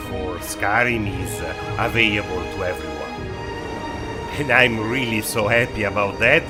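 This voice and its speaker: A man who speaks English as a second language, giving hesitant, semi-scripted commentary as a game mod reviewer. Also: on the low side. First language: not English.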